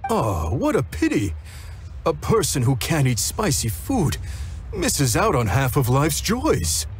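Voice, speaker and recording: Proud middle-aged chef, genial, mid-pitched voice with lively swings, game music behind. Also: male voice, takes it slowly, heavily acted. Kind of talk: game cutscene voice acting.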